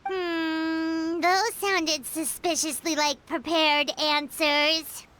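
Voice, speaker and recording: A woman voicing a tiny, excitable, chatty fairy companion with a squeaky childlike voice in a game cutscene. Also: extremely slow, full character voice, low-quality recording. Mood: curious, suspicious. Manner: speaks of self in third person. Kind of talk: animated game cutscene voice acting. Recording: loud music behind the voice. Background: music.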